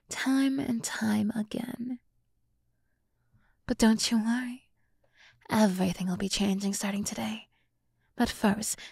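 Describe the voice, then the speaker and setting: A woman voicing a seductive, scheming demon woman in an ASMR roleplay, often dropping to a breathy whisper as she slowly coaxes and condescends. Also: medium pitch, mellow, no room sound, clean studio sound. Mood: knowing, coaxing. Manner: slow, teasing, condescending toward humans. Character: seductive, scheming demon woman, coolly menacing yet coaxing. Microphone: close microphone, dry sound.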